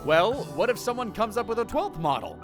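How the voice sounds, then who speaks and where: A man's voice: sits high, expressive, a little fast, over music. A man playing an arrogant, theatrical male college student who narrates a visual novel fan dub in a pompous way. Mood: indignant, theatrical. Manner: pompous, dramatic delivery with exclamations.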